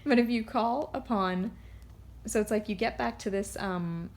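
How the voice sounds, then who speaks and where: A woman giving a casual, unscripted card reading, rambling and halting as she drifts off on tangents. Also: mid-range voice, darkish tone. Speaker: tarot card reader.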